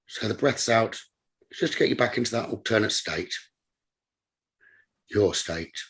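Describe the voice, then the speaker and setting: A man with a lowish, very husky and breathy voice leads a guided meditation. He gives calm instructions in short, unhurried phrases, leaving space between them like a teacher guiding a student inward.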